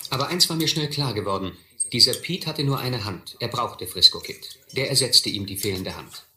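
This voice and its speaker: A middle-aged actor playing a reflective man who recalls his boyhood, narrating a radio play in measured, literary style. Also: medium pitch, lively intonation, sharp tone. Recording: echoey old recording, faint noise.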